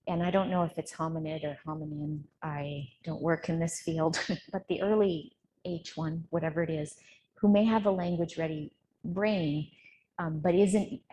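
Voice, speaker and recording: A woman's voice: low voice, dark tone, slight background noise, low-quality recording. A woman speaking as a researcher at an academic conference, making her points in an emphatic, opinionated manner. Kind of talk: academic talk and discussion. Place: indoor conference room.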